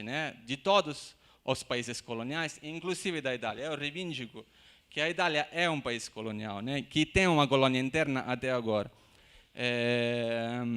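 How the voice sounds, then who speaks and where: A man from Sicily with a Sicilian Italian accent, lecturing in an academic talk. He pauses and restarts often and tags his phrases with questions. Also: mid-range voice, fairly bright tone. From Sicily, Italy. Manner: halting, many "né?" tags and restarts. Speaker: university lecturer. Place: university lecture room.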